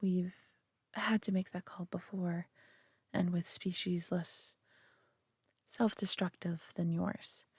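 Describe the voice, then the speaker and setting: A woman's voice: lowish voice, fairly even intonation, takes it slowly, long pauses, darkish tone, breathy edge, no echo, studio quality, exaggerated voice. A woman playing a proud, possessive but caring superior alien being in a roleplay audio drama, speaking in a haughty, condescending yet tender manner. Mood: earnest, solemn.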